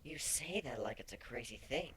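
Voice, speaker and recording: A man voicing a gentle, consoling female changeling in a fan fiction reading, speaking in a soft, breathy, soothing way. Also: medium pitch, expressive, normal speed, slight background noise, voice pushed up, lightly acted. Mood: gentle reassurance.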